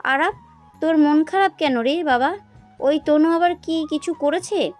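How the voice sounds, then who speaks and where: A woman's voice: sits high, unhurried, darkish tone, soft background music. A woman playing a doting, scheming middle-aged mother in an audio love story, fussing and coaxing. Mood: worried, fussing.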